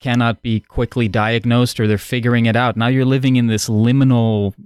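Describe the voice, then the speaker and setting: A man whose first language is Dutch muses playfully in casual conversation. His voice is smooth and resonant, recorded dry and close. He laughs easily between his thoughts. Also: medium pitch, level tone, moderate pace, darkish tone, no room sound. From Netherlands. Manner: playful, musing, laughs often. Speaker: podcast guest. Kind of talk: casual roundtable chat.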